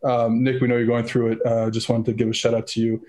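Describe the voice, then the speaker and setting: A man's voice: medium pitch, monotone, quick pace, dark tone, echoey room. A man hosting a podcast, rambling and cracking awkward jokes in casual chat. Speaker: podcast host.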